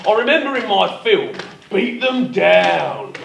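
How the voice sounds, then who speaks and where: A man's voice: high voice, big pitch swings, vocal fry, a bit bright, exaggerated voice. A man playing a vain, dim action-film star boastfully in a live comic radio parody. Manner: boastful, dramatic pauses. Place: theatre stage before live recording. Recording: echoey live stage, distant mic, faint noise.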